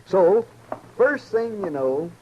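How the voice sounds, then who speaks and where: Gleeful, animated frontier newspaperman. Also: high voice, takes it slowly, dull audio, heavily acted. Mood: proudly excited. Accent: American. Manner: folksy, eager, boastful. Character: gleeful, self-satisfied small-town frontier newspaper editor. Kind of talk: old-time radio western acting. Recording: noisy vintage radio recording.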